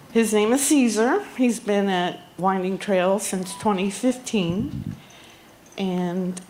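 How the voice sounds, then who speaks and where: Slow, halting woman giving a eulogy. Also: medium pitch, a little mellow, slight background noise, low-quality recording. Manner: slow, halting, with frequent ums. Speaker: eulogy speaker. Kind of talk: reading a memorial tribute. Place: outdoor barn gathering.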